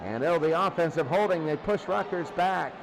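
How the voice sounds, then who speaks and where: High-voiced man calling football over noise. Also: moderate pace, vocal fry. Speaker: play-by-play sports commentator. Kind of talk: American football radio-style play-by-play commentary.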